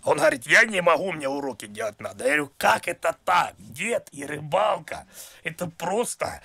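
A man voicing a raspy, folksy old grandpa who rambles and repeats himself in a comic prank call. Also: a little high, animated, normal speed, pushed and bright, no room sound, voice pushed up, full character voice. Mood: insistent, boastful. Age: older (played). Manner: rambling, repetitive, folksy filler-heavy talk. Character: rambling rustic grandpa, boastful, sentimental, absurdly chatty. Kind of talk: prank phone call improvisation.

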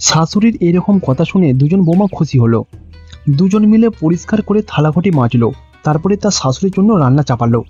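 A man's voice: a little high, lively intonation, fast, warm tone, slightly rough. A man with a Bengali accent reading scripted narration for a cartoon story. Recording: dull, lo-fi audio with music behind.

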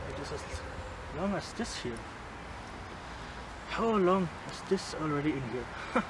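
Slow, lively man filming in a forest. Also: sits high. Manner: chatty, chuckles between remarks, slow and thoughtful. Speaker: bushcraft vlogger. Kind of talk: casual demonstration talk. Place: forest outdoors. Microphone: handheld camera mic. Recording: outdoor camera audio with background noise. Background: forest ambience.

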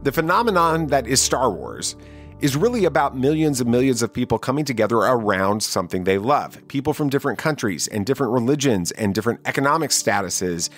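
A man hosting a podcast, talking to listeners in an interview and sign-off style. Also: medium pitch. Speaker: podcast host.

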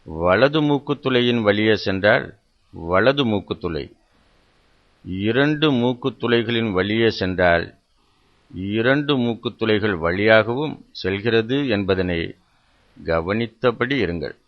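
A man gives guided meditation instructions in a measured, instructional way, repeating phrases with pauses between them. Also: fairly low pitch, lively intonation. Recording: dull, muffled lo-fi sound.

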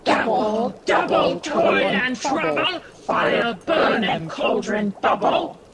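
A woman's voice: fairly high pitch, animated, slow, a loud crowd. A woman voicing an old witch who chants spells over a cauldron, in an amateur radio drama. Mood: chanting. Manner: chanting incantations.

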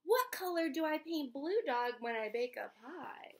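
A woman in her thirties or forties reading a picture book aloud to young children, sing-song and expressive, often asking questions. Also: sits high, moderate pace. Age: 30s or 40s. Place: small indoor room at home.

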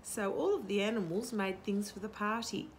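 A woman's voice: medium pitch, moderate pace, noisy background. A woman in her sixties reading a picture book to young children in a sing-song, questioning way. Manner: animated, sing-song, asking the listener questions. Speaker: children's storytime host. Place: outdoor garden patio. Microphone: camera a short distance away.

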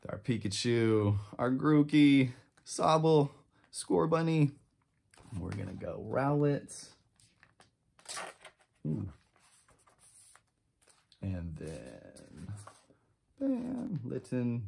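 A man in his twenties giving casual, enthusiastic pack-opening commentary, chatty and quick to drop short exclamations. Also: mid-range voice, animated, vocal fry, darkish tone, a little hiss or hum. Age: 20s. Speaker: trading card channel host. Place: indoor room with shelves of collectibles.

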